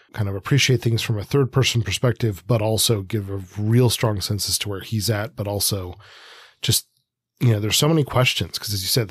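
A man co-hosting a book podcast in a casual, informal chat, halting and often trailing off mid-thought. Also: lowish voice, breathy edge, no room sound. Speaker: podcast co-host. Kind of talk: casual conversational book discussion.

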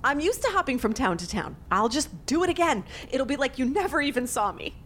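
A woman's voice: high voice, very animated, fairly quick, fairly bright tone, slight background noise. An actress playing a secretly murderous young woman who feigns innocence among old friends in an audio drama. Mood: pleading, nervous.

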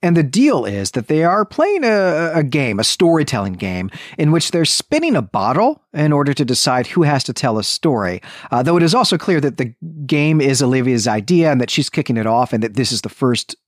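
A man's voice: mid-range voice, sing-song, a little fast, hardly any pauses, no echo. Male co-host for literary recap podcast. Explanatory, conversational, very varied intonation, dry recording. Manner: explanatory, often checks in with "right".

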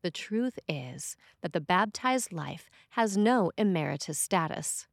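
A woman priest reading a scripted sermon in a measured, expressive way. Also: lowish voice, gravelly, dry recording.

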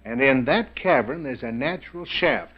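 A man's voice: mid-range voice, animated, normal speed, husky. An actor playing a boyish, adventurous wealthy businessman, chummy and eager as he talks in a 1940s radio mystery. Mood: absorbed storytelling. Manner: hearty, chummy, storytelling. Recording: dull 1940s radio recording.

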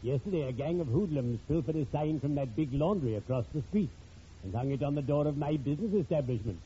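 Guy doing a stuffy ghoulish undertaker from old radio comedy, formal and theatrical, muffled recording with clear noise. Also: on the high side, expressive, dark tone, natural voice. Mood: pompous, droll. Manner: pompous, formal, drawn-out theatrical delivery. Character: ghoulishly genial, pompous male undertaker, fond of puns. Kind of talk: 1940s radio comedy acting.

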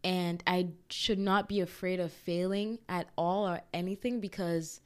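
Young woman, solo podcast host; casual, excitable chatter, smooth and clear tone. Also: fairly low pitch, measured pace. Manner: chatty and excitable, full of fillers.

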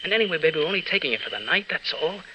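A man's voice: a little high, very animated, very fast. An actor playing a nervous young man in an old radio drama, stammering and pleading as he makes excuses. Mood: pleading, evasive. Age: young adult. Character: nervous, pleading, evasive young sweetheart in trouble. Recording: noisy old radio broadcast recording.

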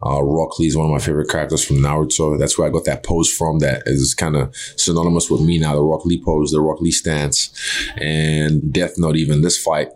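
Man, extremely low voice, Yoruba first language, intense, emphatic, casual interview guest. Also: little pitch movement, brisk, a little mellow. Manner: intense, emphatic, loose with swearing.

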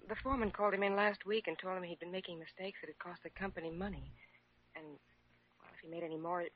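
Actress as worried wife, American accent, rapid speech, breathy husky tone, forthcoming, old muffled radio play. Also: female voice, lowish voice, steady tone, lightly acted. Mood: troubled. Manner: anxious, forthcoming. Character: worried, talkative wife of a sick workman. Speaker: actor in a radio drama. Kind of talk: classic American radio drama acting.

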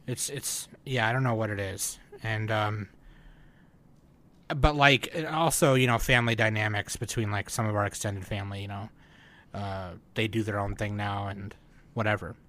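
A man's voice: fairly low pitch, fairly even intonation, moderate pace, bright, forceful tone. Rambling male podcast co-host. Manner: rambling, many fillers and restarts. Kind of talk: casual chat.

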